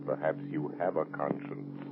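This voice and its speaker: Super deep creepy invisible avenger, old radio thriller, taunting, crackly and tinny. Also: male voice, steady tone, gravelly. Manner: taunting, slow and deliberate. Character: invisible menacing male avenger haunting a driver. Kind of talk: 1930s radio thriller acting. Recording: scratchy, noisy old radio recording.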